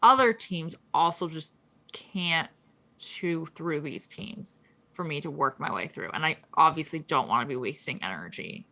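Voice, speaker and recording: Woman, bright tone, a bit low-pitched, chatty gaming podcast co-host. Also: expressive, gravelly. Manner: chatty, quick, lots of fillers and restarts. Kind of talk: casual gaming podcast chat.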